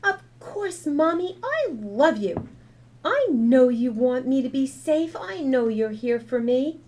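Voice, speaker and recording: Casting note: sweet, wise little girl, put on by a woman, high and earnest, mellow tone. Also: very animated, slow, exaggerated voice.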